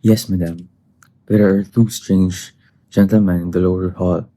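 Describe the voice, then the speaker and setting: A man playing a formal, deferential household butler in a stage comedy, speaking in a measured, halting way. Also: deep voice, fairly even intonation, mellow, no room sound. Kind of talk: comedic stage-play acting.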